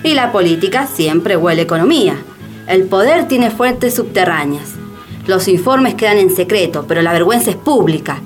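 A woman's voice: medium pitch, lively intonation, normal speed, faint music behind. A woman giving dramatic scripted narration as a radio theatre narrator.

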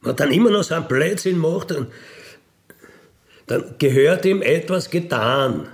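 A man with a gravelly voice, recounting in a blunt, matter-of-fact, colloquial way with emphatic tag questions. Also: on the high side, animated, moderate pace. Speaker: ex-convict telling his experiences. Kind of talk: colloquial spoken monologue, recounting.

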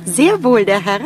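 An actress playing a giggly, coyly servile young waitress in a satirical radio play. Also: female voice, fairly high pitch, full character voice.

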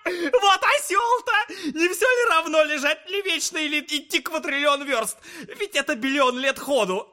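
A tormented young man in an audio drama, exclaiming and sneering in a feverish state. His voice is extremely high for a man and forcefully sharp. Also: normal speed. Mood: derisive, amused. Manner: agitated, exclamatory, derisive.

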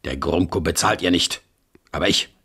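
An actor playing a gruff, domineering king in a radio play, speaking in curt commands. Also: low-pitched, normal speed, sharp tone, heavily acted. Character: gruff domineering middle-aged male king.

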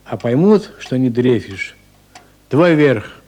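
A man's voice: medium pitch, big pitch swings, slow pace, darkish tone. A man playing a kindly older policeman in a radio drama, folksy, plain-spoken and a little fussing. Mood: worried, advising. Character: kindly, homely old policeman on night duty. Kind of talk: Soviet radio drama acting.

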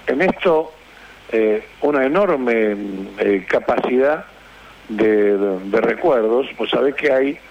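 A man with an Argentine accent chatting casually as a radio host, speaking haltingly as he searches for words. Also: mid-range voice, animated. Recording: dull radio sound with hiss.

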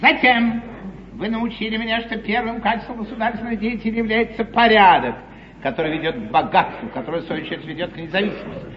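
An actor playing a suave, scheming English lord in a classical stage comedy, courtly, ironic and declamatory. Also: male voice, high-pitched, quick pace, natural voice. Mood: courtly irony. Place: theatre stage before an audience. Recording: dull, noisy 1953 theatre stage recording.

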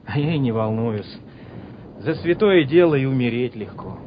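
An actor playing a quiet young soldier who talks haltingly and puts on bravado before battle, in a Soviet radio drama. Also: male voice, mid-range voice, animated, close to an everyday voice. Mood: wistful pleading. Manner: halting, repeats himself. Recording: muffled old radio drama recording, noisy.

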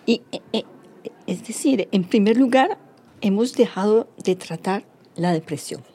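A woman playing a cynical, self-satisfied government minister, a native Spanish speaker giving measured, halting official answers in an interview, with faint noise. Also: medium pitch, lively intonation, dark tone, husky. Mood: self-satisfied, matter-of-fact.

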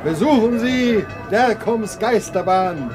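A man's voice: sits high, lively intonation, slow. A man playing a showy ghost train barker in a 1960s German radio play, declaiming theatrical sales patter. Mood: grandly enticing. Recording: 1960s radio play, fairground music and crowd.

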